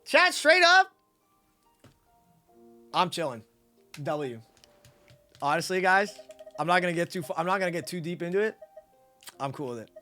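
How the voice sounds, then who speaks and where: Young American female game streamer: bright, punchy voice, very lively intonation, slangy and playful, light music behind. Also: lowish voice, normal speed, pauses often. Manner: playful, slangy, reacting to chat. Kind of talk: casual livestream commentary.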